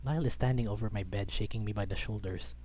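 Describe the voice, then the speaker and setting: A man's voice: fairly low pitch, fast talker, darkish tone, a little hiss or hum. A man reading a visual novel aloud as dramatic scripted narration. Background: background music.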